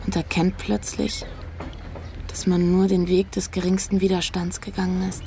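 A woman's voice: on the low side, flat delivery, normal speed, vocal fry, mellow, slight background noise. An actress playing a searching, disillusioned young woman in a naturalistic radio drama, talking pensively. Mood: disillusioned, musing.

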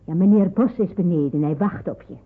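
A cautious housewife sheltering fugitives in a radio drama. She is measured and matter-of-fact, with a husky tone, on a thin, phone-like recording. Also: on the low side. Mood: grave, matter-of-fact. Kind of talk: Dutch radio drama acting.

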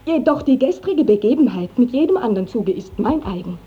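An actress playing a proud, guarded young village maiden, speaking solemnly in a classical German radio drama. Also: female voice, on the high side, expressive, a little fast, slightly rough. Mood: solemn defiance. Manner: solemn, declamatory. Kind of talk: classical German stage-style radio acting. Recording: dull 1950s radio drama recording.

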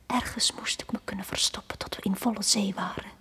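An actress playing a drowned young girl in a radio play, telling her story in a hushed, breathy whisper. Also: mid-range voice, fairly even intonation, fairly quick, close to an everyday voice. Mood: hushed, fearful. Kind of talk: dramatic radio play monologue.

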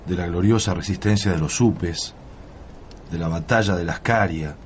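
A man's voice: low voice, normal speed, a little hiss or hum, lightly acted. An actor with an Argentine accent playing a weary, grave time-travelling family man in a radio drama. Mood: grave, solemn. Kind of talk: Spanish-language radio drama acting.

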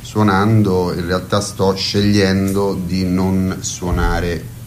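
A man, a musician in a casual radio interview, talking haltingly with a tongue-in-cheek manner. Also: sits low, little pitch movement, darkish tone, a little hiss or hum. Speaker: musician and performer being interviewed. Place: radio studio.

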